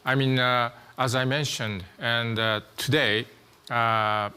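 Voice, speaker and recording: A man answers a question on a panel in a bright, smooth, resonant voice. He hesitates a lot, with fillers between short phrases. His pitch is mid-range. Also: steady tone, slow.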